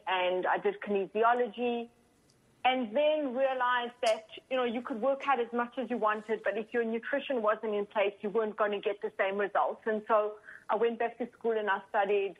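South African woman on a phone line. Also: fairly high pitch. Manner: open, chatty storytelling. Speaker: guest coach. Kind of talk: podcast interview. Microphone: phone call. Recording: phone-line call audio.

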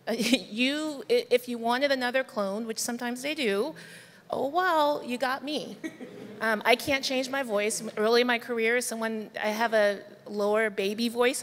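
Woman panelist, emphatic and warm-humored; stage mic, long hall reverb. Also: on the high side. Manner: earnest, emphatic, laughs wryly. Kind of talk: panel discussion. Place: large conference hall stage. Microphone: handheld mic.

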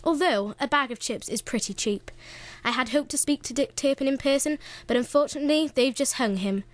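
A woman's voice: high voice, a little fast, no room sound, close to an everyday voice. A woman playing a chirpy, blunt roving reporter in a comic radio play.

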